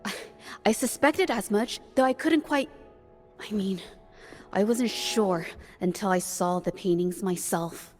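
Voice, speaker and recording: A woman voicing an earnest, thoughtful young woman in a video game cutscene, soft-spoken and hesitant. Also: medium pitch, a little slow, soft background music, lightly acted. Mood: hesitant, troubled.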